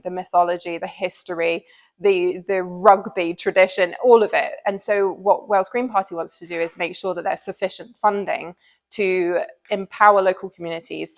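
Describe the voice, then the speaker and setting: A woman's voice: fairly low pitch, creaky. An English woman with an English accent, giving a considered interview answer as an election candidate. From England.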